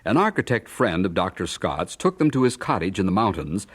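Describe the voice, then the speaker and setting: A man's voice: low voice, sing-song, brisk, fairly bright tone, breathy edge. A man narrating a scripted audio drama as a storyteller.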